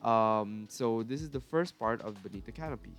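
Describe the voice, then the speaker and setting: A man's voice: on the low side, normal speed. A man with a stuffy nose from a cold, chatting casually and correcting himself as he talks through a game build for his viewers. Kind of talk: casual gameplay commentary. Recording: faint music behind voice.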